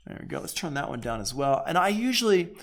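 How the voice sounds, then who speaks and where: A man giving a casual software tutorial as a music production teacher, informal and conversational, thinking aloud. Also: fairly high pitch, big pitch swings, fast talker.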